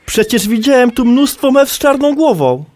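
A man's voice: very high-pitched, big pitch swings, normal speed, darkish tone. An actor playing a curious, slightly skeptical man in a conversational audio drama, asking questions.

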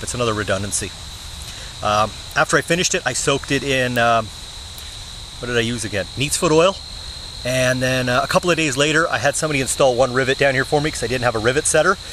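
A young man talks casually to a nearby camera while sitting on the forest floor. He speaks in an easygoing, plain way, often pausing on "uh". Crickets and insects buzz clearly behind him in the outdoor camera audio. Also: medium pitch, noisy background. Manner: relaxed, plain-spoken, many "uh" fillers. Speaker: bushcraft channel host. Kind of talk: casual show-and-tell vlog. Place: woods outdoors, seated on the ground. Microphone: camera mic at close range.

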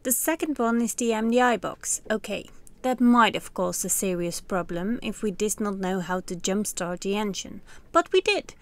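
A Dutch woman in her thirties with a Dutch accent, calmly narrating her family's sailing year in a scripted voice-over. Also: medium pitch, moderate pace. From Netherlands. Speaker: sailing vlogger. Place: on a sailboat cockpit under a canopy, outdoors.

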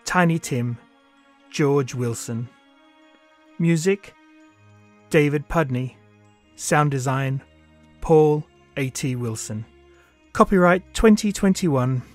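A man reading scripted credits as an announcer in a formal, measured way. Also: a little high, darkish tone. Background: music.

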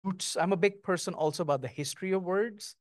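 A middle-aged man, a guest author, speaking thoughtfully and lyrically with many pauses at an onstage conversation, on a handheld mic. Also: high-pitched, no room sound. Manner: thoughtful, lyrical, with frequent ums. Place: small stage before an audience, indoors.

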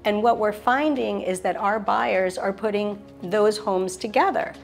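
A woman's voice: medium pitch, a little slow, gravelly, soft background music. A middle-aged woman giving an enthusiastic, polished sales tour of a show apartment, listing features one after another. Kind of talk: polished real estate showcase tour. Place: show apartment kitchen, indoors.

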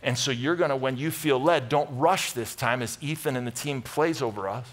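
A man's voice: medium pitch, moderate pace. A middle-aged American man preaching as a pastor in an earnest, informal, conversational way to a congregation. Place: large church auditorium, speaking from a stage.

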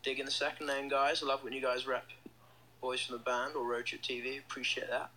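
An upbeat young man talks casually into a phone held close to his face, reading comments from fans. His voice is bright and forward. Faint background hiss sits under the audio. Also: medium pitch, little pitch movement, fairly quick, poor audio. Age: young adult. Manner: upbeat, chatty, reads out fan comments. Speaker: band member hosting a livestream. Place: indoors, small room.

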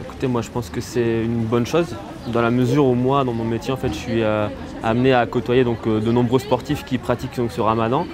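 An older man giving a measured street interview answer outdoors, with frequent fillers. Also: medium pitch, level tone, a crowd in the background. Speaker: passer-by interviewed. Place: outdoors by a car park.

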